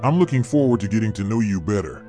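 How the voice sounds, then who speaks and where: A sneering, brutal Old West outlaw boss from a storybook, put on by a male narrator. He talks in a loud, menacing drawl, pitched a little above the reader's own deep voice and with a different, rougher tone. Music plays clearly underneath. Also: animated, fast talker, natural voice. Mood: leering. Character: sadistic, whiskey-soaked Old West gang boss, male. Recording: music playing behind.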